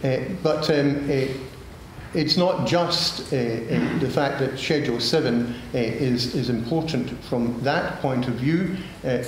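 An older man speaking on a policy panel in a large formal room, halting and measured with many filler sounds. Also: mid-range voice. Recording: echoey hall, room noise.

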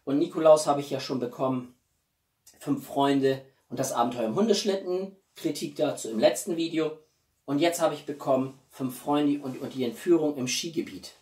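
Guy in his 40s doing a chatty vlog, bit halting, roomy echo, mic kinda far off. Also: a little high, darkish tone. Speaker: video blogger. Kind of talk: casual chatty vlog presenting gifts. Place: small living room, indoors. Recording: roomy echo, distant microphone.

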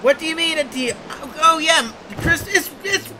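A man's voice: very high-pitched, expressive, moderate pace, background noise. A man voicing an irate, sweary crime boss who whines and protests in an improvised comic game dub. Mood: panicked. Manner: exclamatory, sweary, whiny protesting. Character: irate male crime boss obsessed with his Christmas gathering.

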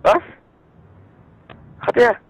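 A man answering a prank call over a speakerphone, curt and dismissive. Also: very slow. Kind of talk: phone conversation.

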